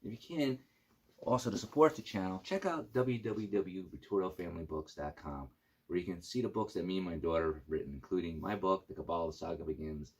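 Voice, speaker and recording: A middle-aged man hosting a casual video channel, talking informally and haltingly through an overview of gear. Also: low voice, darkish tone, slightly rough. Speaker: YouTube channel host.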